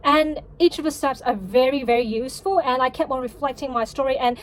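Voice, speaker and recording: A woman whose first language is Cantonese, chatting casually to a vlog audience in a halting, self-correcting way. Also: high-pitched. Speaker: vlogger and novelist.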